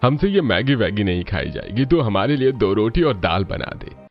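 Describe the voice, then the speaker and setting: Elderly family patriarch for cartoon played by a man, mild, animated low voice, faint music behind. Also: normal speed, muffled recording. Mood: protesting.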